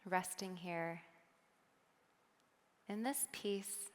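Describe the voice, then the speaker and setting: A woman keynote speaker on a stage, guiding a meditation softly and then talking with the audience in a chatty way. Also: on the low side, long reverb tail. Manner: soft, slow guiding then lively chatty with laughs. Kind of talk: guided meditation and audience talk. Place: large hall on a stage.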